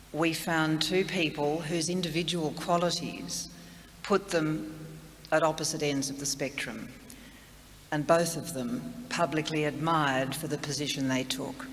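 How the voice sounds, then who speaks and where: Male MC, formal introductory speech, slightly high voice, some background noise. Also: a bit bright. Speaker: master of ceremonies. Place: large hall at a memorial. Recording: echoey hall, background noise.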